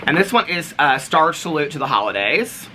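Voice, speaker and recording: An American man chatting casually to camera as a vlogger, in a gushing, easily excited manner. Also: on the high side, normal speed. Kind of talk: casual show-and-tell chat to camera. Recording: clearly noisy home recording with clicks.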